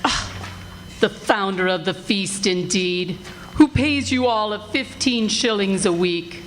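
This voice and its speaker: A woman playing a motherly, sharp-tongued working-class wife in a live radio play, with emphatic, drawn-out delivery. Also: mid-range voice, slight background noise, close to an everyday voice. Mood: indignant, scornful. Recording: music behind live stage performance.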